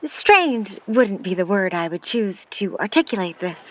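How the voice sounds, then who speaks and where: A woman playing a protective, skeptical middle-aged mother in a 1950s New York audio drama, speaking in formal, measured, wordy sentences. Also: mid-range voice, very animated, rough recording. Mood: guarded, probing. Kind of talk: vintage-set audio drama acting.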